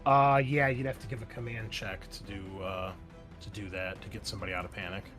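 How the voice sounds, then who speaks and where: A man's voice: lowish voice, steady tone, fairly quick, a bit bright, faint music behind. A man acting as game master of a tabletop game, explaining the scene in an informal, conversational way. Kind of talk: casual tabletop game narration.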